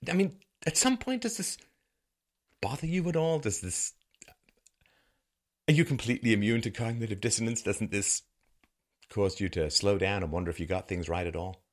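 A man's voice: lowish voice, lively intonation, normal speed, pauses often. A man from Ireland and England delivering an opinion monologue in a sarcastic, rhetorical manner with theatrical emphasis. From born in Ireland, grew up in England. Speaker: host of a political commentary show.

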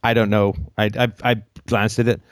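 A middle-aged man from Canada, born in Ireland and raised partly in England, hosting a call-in show in a rambling, joking way with quick asides. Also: lowish voice, level tone, dry recording. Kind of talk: casual call-in conversation.

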